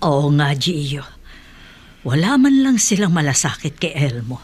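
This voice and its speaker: An actress playing a concerned, earnest middle-aged female relative in a radio drama, with a husky voice. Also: sits low, sing-song, measured pace, a little mellow, a little hiss or hum. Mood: concerned, disapproving.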